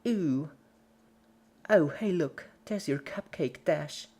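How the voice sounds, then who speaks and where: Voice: mid-range voice, takes it slowly, a little mellow, breathy edge, voice pushed up. A performer voicing a giggly, relentlessly cheerful yet sadistic female cartoon pony in a story reading, chirpy and playful while menacing. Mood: playful, teasing.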